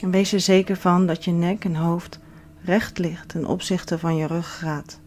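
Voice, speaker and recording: A woman leading a guided relaxation as a yoga instructor, giving soothing, measured instructions with pauses. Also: on the low side, level tone, a little mellow. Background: background music.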